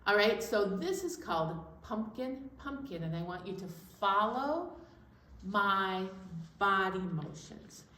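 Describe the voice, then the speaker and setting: A woman's voice: mid-range voice, lively intonation, slow pace, sharp tone. A woman leading a children's storytime in simple, encouraging language, talking young listeners through hand motions and sing-along songs. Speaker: children's storytime leader at a library. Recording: roomy, distant mic, faint background noise.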